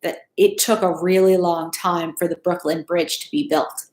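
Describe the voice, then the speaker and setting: A woman in her thirties reading a picture book aloud to children in an expressive, teacherly way. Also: lowish voice, moderate pace. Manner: expressive, teacherly, checks in with questions. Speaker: school principal reading aloud to pupils. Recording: echoey room.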